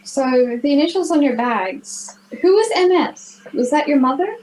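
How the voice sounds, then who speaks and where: A young girl plays a worried, protective school friend in a children's radio play. Her child's voice is high but mellow in tone. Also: lively intonation, moderate pace, rough recording. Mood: concerned.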